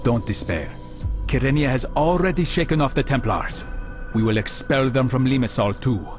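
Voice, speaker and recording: Casting: male actor for a righteous, composed killer in a game, medium pitch, stern and direct. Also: lively intonation, normal speed, soft background music, natural voice. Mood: resolute. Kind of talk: video game voice acting.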